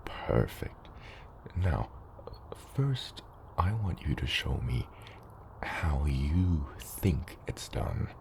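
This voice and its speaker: Man acting as rumbling bottom-deep dragon dad, slow unhurried delivery, gentle mentor, gravelly, formal yet hesitant, ASMR roleplay. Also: sing-song, heavily acted. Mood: calm, guiding. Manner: hesitant, measured, formal wording with soft asides. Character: gentle fatherly old mountain dragon, patient mentor to hatchling. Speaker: dragon father in audio roleplay. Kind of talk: ASMR roleplay, soft slow scripted monologue. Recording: roomy long cave-like reverb, faint noise.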